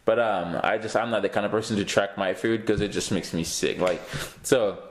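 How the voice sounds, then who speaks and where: A man's voice: fairly low pitch, fairly quick, reverberant, background noise. A young man from Haiti, a guest in a casual interview, talking easily with many fillers and frequent laughs.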